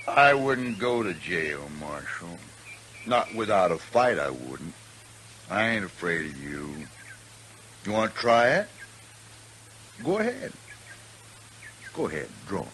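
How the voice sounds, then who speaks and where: A blustering American gunman from a 1950s radio western. The voice is very deep and animated, sneering and goading. A faint hiss runs under the old recording. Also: slow, long pauses, full character voice. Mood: defiant. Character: cocky, taunting western gunman, a blustering bully.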